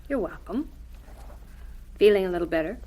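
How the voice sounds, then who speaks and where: Woman as a caring church-going mom in a radio drama, soothing, gently preachy, really expressive pitch. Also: moderate pace, close to an everyday voice, low-quality recording. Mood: gentle, coaxing. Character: gentle, concerned, devout mother.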